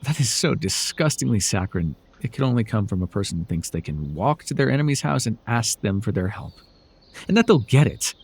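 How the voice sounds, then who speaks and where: A man voicing a proud, sardonic supervillain with a hidden soft spot in an intimate audio roleplay, speaking in a husky, theatrical way. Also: lowish voice, big pitch swings, brisk, full character voice. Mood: indignant, ranting. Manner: theatrical, sardonic, swinging between soft murmurs and emphatic outbursts. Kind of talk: ASMR roleplay voice acting, intimate dramatic monologue to the listener.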